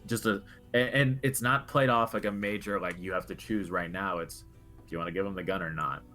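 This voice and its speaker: A man co-hosting a video game discussion, speaking casually and haltingly as he thinks aloud. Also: deep voice, pushed and bright, soft background music.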